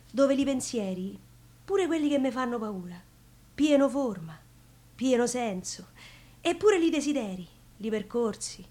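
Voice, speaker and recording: An actress playing a chatty, wistful Roman woman who tells stories on stage, rambling colloquially to her audience with a Roman accent. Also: on the high side. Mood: rambling, musing. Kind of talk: theatrical monologue in dialect.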